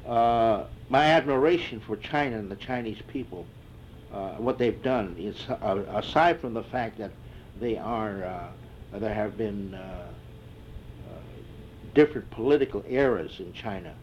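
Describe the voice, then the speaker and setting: An older man in an oral history interview, answering reflectively and haltingly with many fillers and restarts. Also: lowish voice, a little mellow. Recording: dull lo-fi tape with faint hiss.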